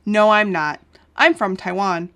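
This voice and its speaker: A woman's voice: mid-range voice, animated, measured pace, fairly bright tone. A woman reading English listening-test dialogue lines with careful, well-articulated delivery. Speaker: dialogue reader.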